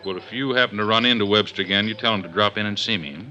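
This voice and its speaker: A gruff cop of 1950s radio drama, world-weary and folksy. His sharp, hard-edged voice is slightly low. The old recording is muffled, with some noise. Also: racing. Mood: offhand, gruff. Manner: blunt, folksy, offhand. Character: gruff, world-weary male police inspector. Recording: dull, noisy vintage 1950s radio recording.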